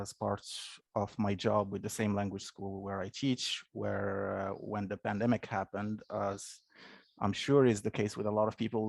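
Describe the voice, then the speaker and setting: A Moroccan man in his thirties, English not his first language, talking thoughtfully as an interview guest with many pauses and restarts. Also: lowish voice, gravelly, dry recording. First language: not English; English is his third language. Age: 30s. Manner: thoughtful, many fillers and restarts, laughs often. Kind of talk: casual interview.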